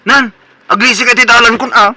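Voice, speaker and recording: A man's voice: extremely high pitch, steady tone, fairly quick, pushed and bright, no room sound, heavily acted, poor audio. A Filipino man playing an irritable young bachelor son in a comedic radio drama, curt and scolding. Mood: exasperated.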